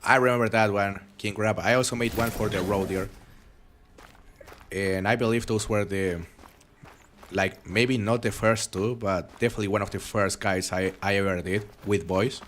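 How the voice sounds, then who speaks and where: Young male game streamer, relaxed and rambling, accented, lowish voice, faint hum behind. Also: normal speed. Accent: foreign accent. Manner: relaxed, chatty, rambling with frequent restarts. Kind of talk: live game stream commentary reading chat.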